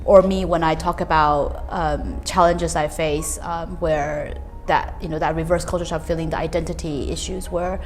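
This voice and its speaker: A woman's voice: deep voice, fairly even intonation, normal speed, soft background music. A woman from Taiwan speaking earnestly on a panel, searching for words with many restarts. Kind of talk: reflective panel talk.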